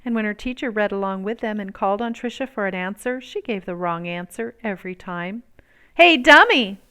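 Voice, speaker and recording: A woman reading a picture book aloud as a storyteller, with expressive, measured and emphatic phrasing. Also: mid-range voice, clean tone. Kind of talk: expressive read-aloud narration.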